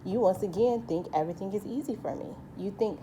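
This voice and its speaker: A woman's voice: mid-range voice, fairly quick, dark tone, slight background noise, rough recording. A woman with a light voice giving a rambling, earnest personal monologue as a vlogger, full of restarts and asides. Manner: rambling, earnest, many restarts and "you know".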